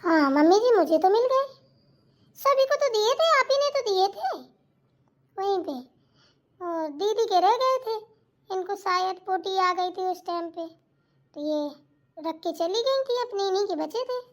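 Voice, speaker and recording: Woman acting high-voiced, obedient daughter-in-law. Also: expressive, unhurried, long pauses. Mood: dutiful, informative. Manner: deferential. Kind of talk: family comedy skit acting.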